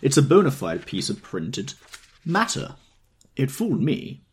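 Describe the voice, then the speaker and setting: A man voicing a stout, drunk middle-aged man who talks excitedly and insistently. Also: medium pitch, unhurried, breathy edge, pitched above the performer's own voice, exaggerated voice.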